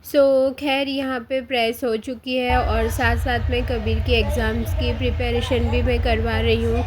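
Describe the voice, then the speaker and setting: An Indian woman in her thirties narrates a home vlog through a close voice-over mic, her voice hoarse from a sore throat. She speaks a little high for a woman, in a flat, even tone, soft and unhurried, with frequent pauses. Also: noisy background. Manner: soft, unhurried, pauses often with "uh", mixes English into Hindi. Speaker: vlogger.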